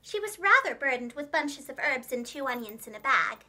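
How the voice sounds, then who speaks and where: A woman narrating a children's storybook in an expressive, clearly enunciated storyteller's manner for young listeners. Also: sits high, moderate pace. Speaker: preschool teacher and children's storyteller.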